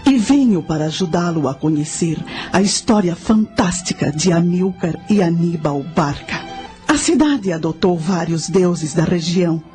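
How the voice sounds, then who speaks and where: A woman playing a solemn priestess who guides through history in a radio drama, in a measured, explanatory manner. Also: lowish voice, mellow, lightly acted. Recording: faint music under the voice.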